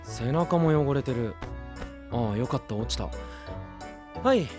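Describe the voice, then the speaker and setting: A man's voice: mid-range voice, expressive, unhurried, music in the background, close to an everyday voice. Man playing a wry, nonchalant young knight. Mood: dry, teasing. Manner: wry, offhand, matter-of-fact. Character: cool, dry, nonchalant young male knight. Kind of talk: anime audio drama acting.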